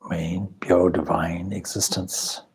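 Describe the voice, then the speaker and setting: Male meditation guide: very slow delivery, heavily breathy and husky, calm and instructive. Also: low-pitched, low-quality recording. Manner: calm, measured, instructive with deliberate pauses. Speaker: swami leading a guided meditation.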